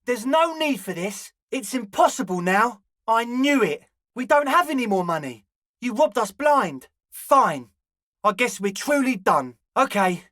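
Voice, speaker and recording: A man's voice: very high-pitched, very animated, fairly bright tone, no room sound, studio quality, exaggerated voice. A male actor voicing a young, slangy club hustler in a video game, conciliatory and laid-back with a pleading manner. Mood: dismayed, protesting. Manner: slangy, placating, drawn-out pleading. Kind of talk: video game character dialogue.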